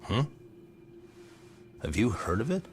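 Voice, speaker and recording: A man playing a calm, casual private detective in conversational video game cutscene dialogue. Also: fairly low pitch, slow pace, slight background noise. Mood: inquisitive. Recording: game music under the voice. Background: music.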